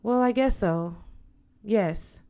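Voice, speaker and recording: A woman's voice: medium pitch, slow, mellow, clear, resonant tone. Woman acting young girl, storybook character, reluctant, questioning, hesitant delivery. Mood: grudging. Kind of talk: audiobook character voice.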